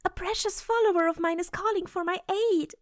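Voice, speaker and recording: A woman's voice: extremely high pitch, brisk, dry recording, clean studio sound. A woman playing a poised, gracious goddess in a fantasy audio drama, speaking with polite, arch teasing. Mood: archly curious.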